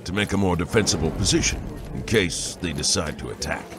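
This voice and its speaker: An actor playing a stubborn, insistent older veteran soldier in a fantasy audio drama. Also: male voice, low-pitched, expressive, fairly quick, background noise. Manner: insistent, argumentative. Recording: loud music under the voice.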